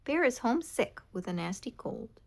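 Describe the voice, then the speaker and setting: A woman's voice: a little high, big pitch swings, normal speed, gravelly, a little mellow, voice pushed up. A woman voicing a cheerful grown-up male mole caretaker in a storybook.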